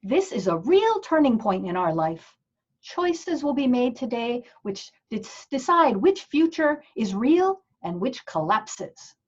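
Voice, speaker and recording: A woman playing an odd, contented nun from the future in a comedy play reading, with a husky, earnest delivery. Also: fairly high pitch, very animated, slow, heavily acted. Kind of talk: comedy play read aloud. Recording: dull, muffled microphone.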